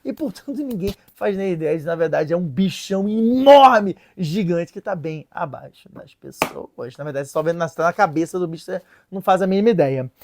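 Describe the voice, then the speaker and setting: A woman presenting a casual lesson in an animated, joking way, stopping to read and translate sources. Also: medium pitch. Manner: animated, playful, joking asides; reads and translates sources aloud. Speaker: religious video presenter.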